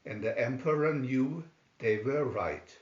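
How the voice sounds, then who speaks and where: A man narrating a scripted fairy tale in a deliberate, expressive read-aloud style. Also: medium pitch. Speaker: storyteller. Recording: roomy, dull lo-fi home recording.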